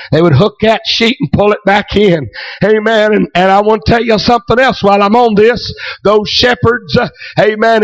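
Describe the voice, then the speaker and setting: A hoarse preacher, raspy from a week of preaching, delivering a fervent sermon that he punctuates constantly with amen and hallelujah. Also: high voice. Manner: peppers every phrase with amen and hallelujah, bursts into shouts. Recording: dull lo-fi recording.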